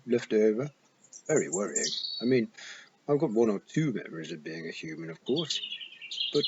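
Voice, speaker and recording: A man's voice: fairly low pitch, close to an everyday voice. A man voicing an anxious talking toad who was once human, musing and fretting as he rambles. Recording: muffled with steady hiss.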